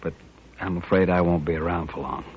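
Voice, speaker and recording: An actor playing a courteous, reserved riverboat musician in a 1950s radio western, on a muffled old recording. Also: male voice, low-pitched, brisk, lightly acted. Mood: polite, reserved. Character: courteous, reserved, drifting riverboat guitar player.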